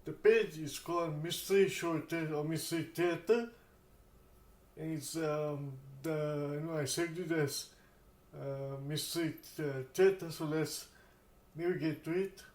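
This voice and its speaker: Male tech demo host, fairly high voice, casual stop-start delivery with repeated words, echoing room sound. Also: fairly even intonation, unhurried. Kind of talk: casual spoken tech demonstration.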